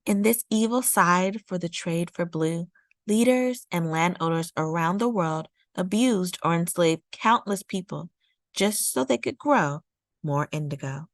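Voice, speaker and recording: Woman reading a children's book aloud, lowish voice. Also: expressive, measured pace, dry recording, clean studio sound. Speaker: storytime read-aloud host. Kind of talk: scripted read-aloud narration of a children's nonfiction picture book.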